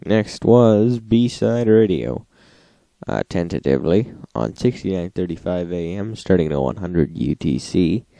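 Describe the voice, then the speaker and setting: A man's voice: low-pitched, vocal fry, mellow, no room sound. A man narrating a log in a matter-of-fact, list-like way, as the host of a recap. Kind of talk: scripted narration, reading logs.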